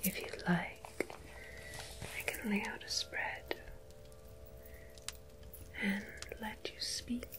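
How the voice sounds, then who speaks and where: A woman playing a mysterious, gentle tarot medium in an ASMR roleplay, speaking in a soft breathy whisper with deliberate pauses. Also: mid-range voice. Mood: mystical, solemn. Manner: slow, hushed, deliberate, with long pauses. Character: mysterious gentle female tarot medium between two worlds.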